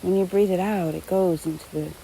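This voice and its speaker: A woman leading a guided meditation in a gentle, unhurried manner, speaking unscripted instructions in short phrases with long pauses. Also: on the low side, steady tone, creaky, slight background noise, low-quality recording.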